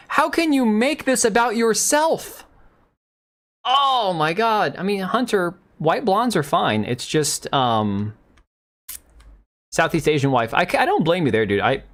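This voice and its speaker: Hoarse, rambling man in his thirties. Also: sits high, fairly bright tone. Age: 30s. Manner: loose, rambling, sarcastic. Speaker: livestream host. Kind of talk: casual live commentary rant.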